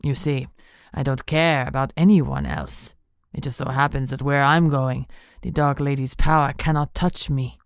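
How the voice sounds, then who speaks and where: A woman's voice: expressive, normal speed, no echo, studio quality. Woman doing a purring werewolf villain. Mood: menacing. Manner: low purring menace. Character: menacing male werewolf captor, smooth and cruel.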